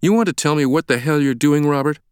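A man's voice: mid-range voice, animated, normal speed, no room sound, clean studio sound, pitched above the performer's own voice, lightly acted. A man playing an agitated American doctor in an audiobook, incredulous and demanding, blurting out his words. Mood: angry, incredulous. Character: agitated American man, an itchy, indignant public health doctor.

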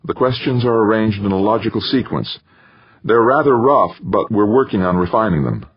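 A formal male mission controller , played by a male narrator, speaks in an orderly, businesslike way. The voice is husky and raised above the reader's usual pitch, in a dull audiobook recording. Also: lively intonation, moderate pace. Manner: precise, orderly. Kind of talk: audiobook character dialogue.

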